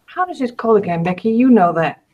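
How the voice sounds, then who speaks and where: Brief: mid-pitched woman host, curious and chatty, video call mic. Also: big pitch swings, brisk, darkish tone, lo-fi sound. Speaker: live stream host. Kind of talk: casual craft chat.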